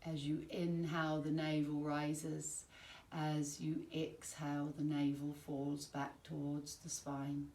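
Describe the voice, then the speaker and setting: A woman teaching yoga nidra, giving calm, soothing, measured guided-relaxation instructions with long pauses. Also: low-pitched. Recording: roomy, distant mic, faint background noise.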